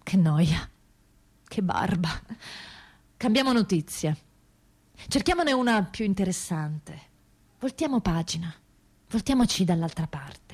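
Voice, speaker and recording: A woman performing a scripted theatrical monologue, deliberate and rhetorical, with dramatic pauses. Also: lowish voice, animated, a little mellow, breathy edge. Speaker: stage performer and narrator.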